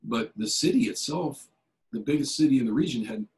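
A man's voice: medium pitch, animated, a little fast, a little mellow. A middle-aged man speaking on a video call from a home office, answering questions in a rambling way with many fillers and restarts. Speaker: keynote speaker, elected official. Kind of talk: conversational video-call interview. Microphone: video call webcam.

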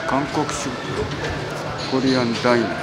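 A man narrating a walking tour in short, halting phrases as he goes. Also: mid-range voice, flat delivery. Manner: short halting phrases, switching between languages. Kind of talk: sparse sightseeing narration while walking. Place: busy station area, streets and walkways. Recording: loud urban street and station noise. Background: loud city ambience, trains and traffic.

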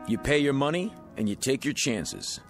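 An actor playing a smooth-talking male card dealer in a radio drama, slick and needling. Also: mid-range voice, big pitch swings, moderate pace, faint music behind. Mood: sly.

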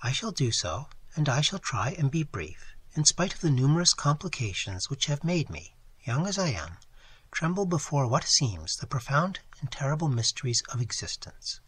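A man voicing a distressed young lady in a read-aloud story, speaking in a low, beseeching murmur. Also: big pitch swings, normal speed, fairly bright tone, breathy edge. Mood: earnest. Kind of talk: audiobook character reading.

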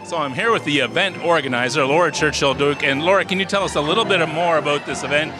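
A middle-aged man reports from a busy gala in a community hall, speaking upbeat into a handheld mic. His voice sits a little high. A band plays loudly behind him. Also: fast. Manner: upbeat, promotional. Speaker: event reporter. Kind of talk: on-location event coverage. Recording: loud band music behind. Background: loud live music.

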